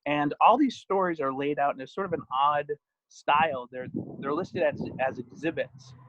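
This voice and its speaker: A man's voice: on the high side, normal speed, a bit bright, a little hiss or hum. A man giving an author's reading of his own poems and stories, with informal asides. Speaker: poet and author. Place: at home. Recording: dull, muffled lo-fi sound.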